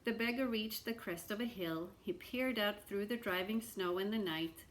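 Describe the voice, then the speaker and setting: A woman reading a story aloud expressively, with dramatic pauses and small asides to the listeners. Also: medium pitch, a little hiss or hum, poor audio.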